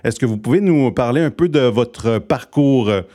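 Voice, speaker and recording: A man's voice: mid-range voice, expressive, moderate pace, mellow. A man hosting an interview, asking questions with many fillers. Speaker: interview host. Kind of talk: radio-style interview.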